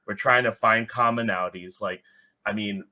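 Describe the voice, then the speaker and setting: Bright, forceful low-voiced man talking candidly. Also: fairly even intonation, normal speed. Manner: blunt, swearing, heavy use of "like". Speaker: podcast guest, soccer supporters group organizer. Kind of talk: casual, candid podcast conversation.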